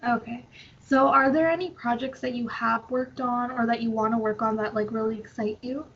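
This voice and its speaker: A woman's voice: on the high side, steady tone, moderate pace, fairly bright tone, husky, lo-fi sound. A young woman conducting a casual interview in an informal, affirming way.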